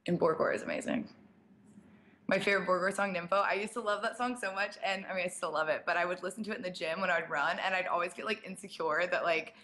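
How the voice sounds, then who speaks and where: A young woman, a DJ, chatting casually through a live-stream Q&A, slightly raspy and sprinkling her talk with "like". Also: on the low side, fairly even intonation, fast, bright, forceful tone. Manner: chatty, fills speech with "like" and small laughs. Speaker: DJ and producer. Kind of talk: casual live-stream Q&A, reading viewer questions.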